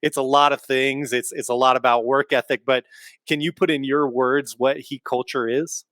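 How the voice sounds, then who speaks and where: A man with a medium-pitched voice hosts an interview podcast. He asks his questions casually, and the sound is dry with little room in it. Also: steady tone, moderate pace, fairly bright tone, no echo.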